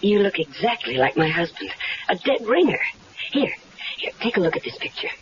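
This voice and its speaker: An actress playing a restless, scheming married woman in a 1970s radio drama, with a brisk, bossy manner. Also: medium pitch, very animated, natural voice. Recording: muffled old radio recording with loud hiss.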